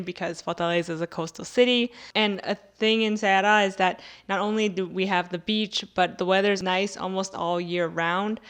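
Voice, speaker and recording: A woman's voice: mid-range voice, clean tone. A young American woman talking casually to her viewers in a conversational way, dropping in filler words like "like" and "you know". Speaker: video creator answering viewer questions.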